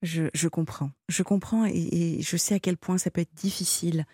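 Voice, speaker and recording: A woman hosting a late-night call-in radio show, speaking gently, teasing a little and rephrasing what the caller says. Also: fairly low pitch, steady tone, brisk, slightly rough, no room sound, clean studio sound. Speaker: radio host.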